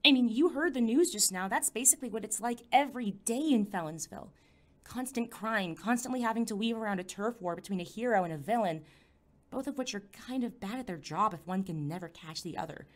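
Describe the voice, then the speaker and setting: A young woman acting a wry, anxious teenage high school student in a scripted audio drama, talking conversationally with hesitant asides. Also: expressive, fairly quick, natural voice. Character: teenage girl, sarcastic, anxious, determined high school junior.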